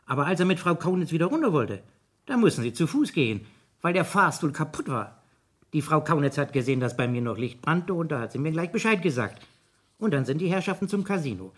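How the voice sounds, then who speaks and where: A man's voice: fairly high pitch, very animated, fairly quick, a bit bright, husky, full character voice. An actor playing a chatty, folksy building caretaker telling his account in a 1970s German radio crime drama. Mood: eager, matter-of-fact. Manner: folksy, storytelling, colloquial. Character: chatty, simple male building caretaker giving testimony.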